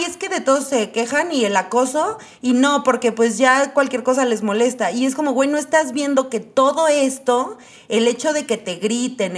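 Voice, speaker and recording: Mexican woman, candid and slangy, emphatic, slightly high voice. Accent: Mexican Spanish. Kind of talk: casual discussion.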